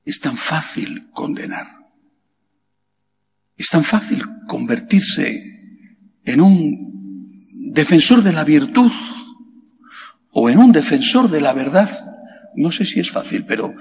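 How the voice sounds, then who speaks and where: A middle-aged man from Spain, a priest preaching a homily slowly and emphatically, asking rhetorical questions and leaping up in pitch on key words, into a stand mic in a large chapel. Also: vocal fry. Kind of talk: sermon. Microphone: stand mic at lectern. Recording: long hall reverb, faint background hiss.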